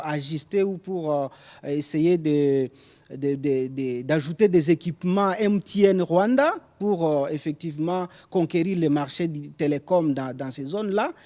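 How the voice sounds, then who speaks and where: A man's voice: fairly high pitch, warm tone. A man from DR Congo with a Congolese French accent, answering interview questions on current affairs and often repeating words as he searches for phrasing. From DR Congo, Rutshuru in North Kivu.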